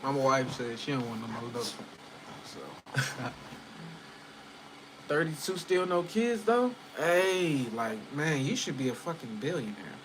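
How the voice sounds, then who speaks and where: A man's voice: mid-range voice, big pitch swings, unhurried, pauses often, background noise. A man in his early thirties chatting loosely at home with viewers, rambling, full of fillers and swearing. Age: 30s. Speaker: channel host chatting with viewers. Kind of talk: casual livestream chat.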